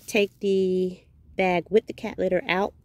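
Slow-talking woman vlogging from her van. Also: fairly low pitch, little pitch movement, darkish tone, dry recording. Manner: chatty, unhurried, thinking aloud with fillers. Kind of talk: casual vlog narration. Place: inside a parked van.

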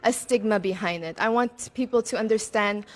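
A woman's voice: medium pitch, moderate pace. A woman gives chatty, earnest pageant interview answers into a stand microphone, mixing in Tagalog words. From Philippines and Macau. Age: twenties. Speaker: beauty pageant contestant. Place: open-sided veranda outdoors.

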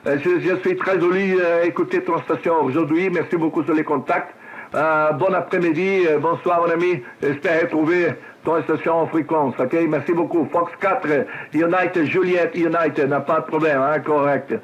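Brazilian man, higher-pitched, on ham radio. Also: fairly even intonation, muffled recording. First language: Portuguese. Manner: halting, repetitive foreign-language speech. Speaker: amateur radio operator. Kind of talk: casual radio contact chat with callsign spelling. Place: cluttered home radio desk indoors.

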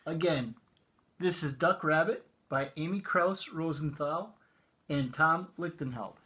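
A man's voice: fairly high pitch, unhurried, bright, forceful tone, slight background noise. A middle-aged American man hosting a storytime for children, talking to them as an educator. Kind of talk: friendly storytime talk to children. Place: small indoor room with bookshelves.

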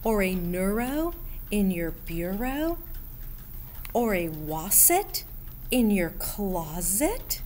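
Very slow, lively woman reading to children. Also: medium pitch. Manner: expressive, deliberate, addressing young listeners with pauses. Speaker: school principal reading aloud to children. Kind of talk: children's picture book read-aloud. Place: school library room, indoors. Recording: steady background room noise.

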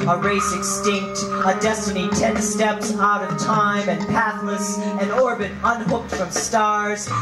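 A woman in her thirties performing spoken-word poetry in a declamatory, deliberate way with dramatic pauses, on a handheld microphone in a hall. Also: medium pitch. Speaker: poet. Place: indoor hall, on stage. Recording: roomy live hall sound, music behind.

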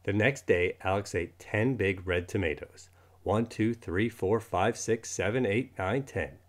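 A man reading a children's storybook aloud in an expressive manner, acting out the words as he goes. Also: fairly low pitch, a little slow. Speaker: storybook reader. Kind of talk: children's book read-aloud.

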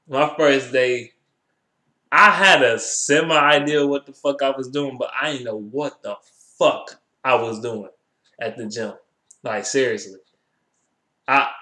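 A young American man talks casually to a webcam in an echoey room. His voice sits at an average pitch. He speaks in loose slang with plenty of swearing and circles back to repeat his points. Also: fairly bright tone. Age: 20s. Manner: slangy, heavily profane, repeats himself. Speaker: vlogger. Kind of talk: casual chat to camera. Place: small bare indoor room. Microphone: webcam at arm's length.

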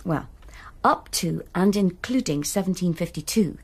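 A husky, fairly low-voiced woman who eagerly explains old records. She talks in a patient, explanatory way. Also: animated, measured pace, full character voice. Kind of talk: BBC radio drama acting.

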